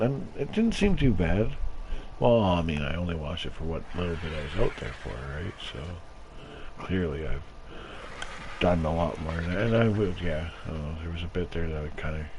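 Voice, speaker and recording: A man's voice: on the low side, lo-fi sound. A middle-aged man rambling solo over gameplay, self-deprecating and given to muttered asides. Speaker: gaming streamer. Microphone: home gaming mic turned up. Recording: noisy home microphone with turned-up gain.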